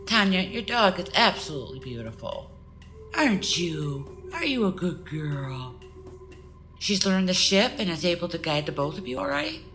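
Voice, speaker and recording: A woman's voice: fairly low pitch, sing-song, normal speed, a bit bright. Kind, sociable celebrity acted by a woman, over music. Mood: admiring. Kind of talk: audio drama dialogue.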